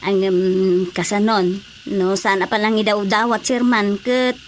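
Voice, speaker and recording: A woman's voice: mid-range voice, steady tone, a little slow, close to an everyday voice. A woman playing a concerned, persuasive village councilor in an Ilocano Filipino radio drama, coaxing and insistent. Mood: worried, insistent. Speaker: voice actor. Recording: faint background noise.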